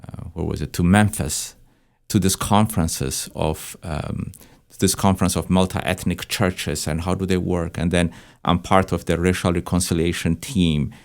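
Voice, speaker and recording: Very deep-voiced man. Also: steady tone, moderate pace, creaky. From Ethiopia. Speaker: church counseling director and therapist, panel guest. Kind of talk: reflective conversation.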